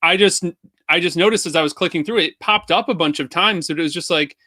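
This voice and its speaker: A man's voice: a little high, quick pace, sharp tone, dry recording. A man speaking as a guest marketing expert, analysing casually and thinking aloud in a conversational way.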